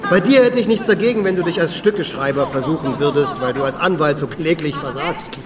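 A man's voice: fairly high pitch, a little fast, lightly acted. Actor as a brusque theatre man, echoing, distant. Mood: scornful, scheming. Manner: mocking endearments, blunt. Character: brusque, sarcastic theatre director, scornful and scheming. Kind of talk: German radio drama acting. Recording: echoey theatre hall, distant, music behind.

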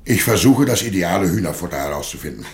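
A Germanic warrior with a deep voice. He is mild, curious and courteous, speaking in a matter-of-fact, genial way within a theatrical radio play. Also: expressive, quick pace.

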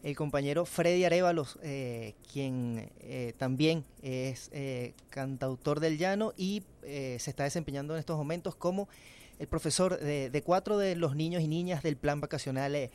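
A man introducing guests on air from a radio studio, in a halting announcing manner. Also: on the high side, a little mellow, clear, resonant tone. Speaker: radio presenter.

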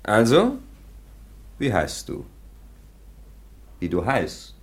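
A brisk police chief giving curt orders. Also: male voice, lowish voice, huge pitch swings, exaggerated voice. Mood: commanding. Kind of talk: radio play acting.

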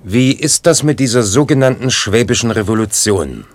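An actor playing a sober, probing questioner and commentator in a radio play, measured and inquiring. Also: male voice, medium pitch, expressive, over loud music, natural voice.

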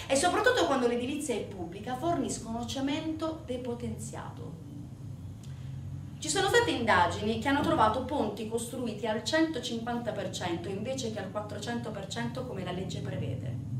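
Actress as an indignant woman stage narrator, highish voice, sardonic, emphatic, theatrical monologue, roomy distant recording. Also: slight background noise, natural voice. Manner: rhetorical questions to the audience, sarcastic, emphatic. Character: indignant, sardonic woman storyteller denouncing organized crime. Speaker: stage actress in a solo show.

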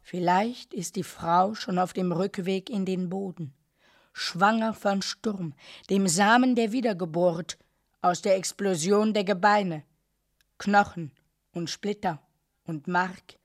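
An actress playing a narrator who recites dark, surreal literary radio drama in a measured, declamatory way, as if questioning what is described. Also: female voice, medium pitch. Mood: measured, pondering.

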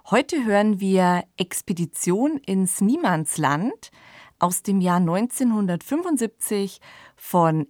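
A woman's voice: lowish voice, no echo, clean studio sound. A woman presenting a podcast as co-host, introducing and asking in a presenter's style.

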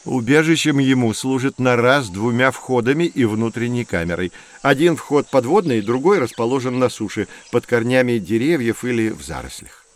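A man's voice: mid-range voice, lively intonation, natural voice. A man playing a knowledgeable, patient nature guide who explains things in a children's educational audio drama. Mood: cautioning, informative. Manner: measured, didactic.

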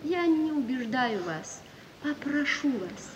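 Slow, solemn wronged wife in reverberant hall. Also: female voice, high voice, expressive, a little hiss or hum. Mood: solemn, pleading. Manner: solemn, measured, earnest declamation. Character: wronged gentle wife, dignified, resolute, pained. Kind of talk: 1950s Soviet radio play acting. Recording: dull, distant 1950s radio recording, hall reverb.

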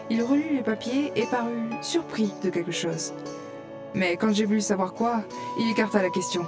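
A woman's voice: medium pitch, natural voice. A woman playing a curious gamer who narrates her fantasy adventure in scripted first-person audio fiction.

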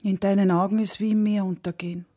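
A woman's voice: medium pitch, little pitch movement, quick pace, dark tone, close to an everyday voice. An actress playing a tender, grieving woman in a lyrical radio drama, speaking poetically and intimately.

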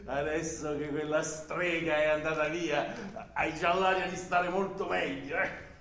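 A pushy older uncle in a radio drama, blunt and emphatic. The voice is a little high for a man, heard in a roomy echo with faint noise. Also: level tone, a little slow, a bit bright. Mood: needling. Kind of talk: radio play stage acting. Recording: echoey room, faint background noise.

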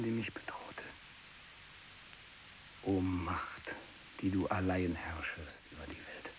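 An actor playing a tormented, fanatical renegade missionary who worships evil, in a feverish radio drama monologue from the 1960s. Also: male voice, creaky. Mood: hushed, bitter fervour. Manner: feverish, swinging from hushed whispering to shrill ecstatic cries. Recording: hissy 1960s radio recording.

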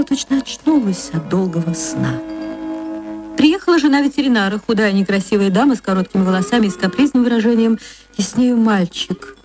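A woman reading a literary story for radio as narrator, with an expressive, dramatic and deliberate delivery. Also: a little high.

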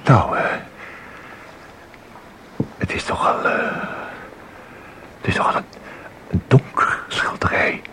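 An actor in a radio play playing a nervous, hesitant museum visitor, halting and stammering as he repeats himself. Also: bright, forceful tone, slight background noise. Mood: hesitant, uneasy.

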